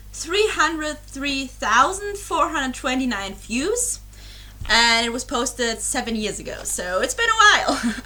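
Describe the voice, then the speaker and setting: Young woman, slightly high, crisp bright voice; rambling, giggly webcam chat. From Germany. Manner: rambling and apologetic, many fillers and giggles. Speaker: reaction YouTuber. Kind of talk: casual chat to camera.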